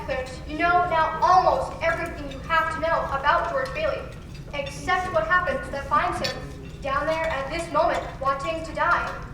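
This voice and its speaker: A woman's voice: high voice, noisy background, natural voice. A young woman acting as the storytelling heavenly narrator of an old-fashioned radio play, reading scripted narration. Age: young adult. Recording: echoey live stage, distant mic, music behind.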